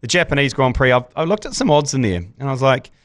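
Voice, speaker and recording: A New Zealand man hosting a casual sports podcast, rambling and slangy, his voice hoarse. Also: medium pitch, lively intonation, quick pace, a bit bright, no room sound, clean studio sound. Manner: laid-back, rambling, slangy. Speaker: podcast host.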